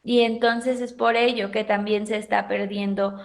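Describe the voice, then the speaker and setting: A Mexican woman teacher lecturing her students, often pausing to ask them questions. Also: mid-range voice, level tone, gravelly. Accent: Mexican Spanish. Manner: questioning the class, thoughtful pauses. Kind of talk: university pedagogy lecture.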